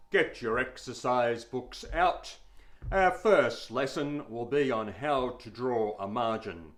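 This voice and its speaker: A man voicing a stern, bullying, contemptuous male primary school teacher in a told story, with a commanding manner. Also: medium pitch. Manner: slow, commanding classroom instruction.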